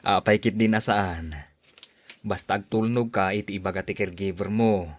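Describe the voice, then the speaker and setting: A man's voice: on the low side, fairly even intonation, moderate pace, no room sound, lightly acted. A man acting in a Filipino radio drama as a friendly office buddy, encouraging and full of reassuring advice. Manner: reassuring, advising.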